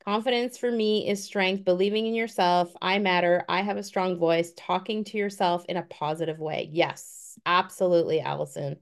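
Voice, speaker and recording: A woman's voice: on the low side, steady tone, normal speed, few pauses. Earnest woman in her fifties, encouraging. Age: 50s. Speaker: guest speaker. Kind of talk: motivational talk.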